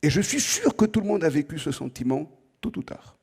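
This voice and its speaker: Husky-voiced French professor lecturing students. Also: male voice, on the high side, big pitch swings, a little fast, darkish tone. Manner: conversational, asks the audience direct questions, repeats words as he thinks. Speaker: professor giving an opening lecture. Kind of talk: lively spoken lecture to students.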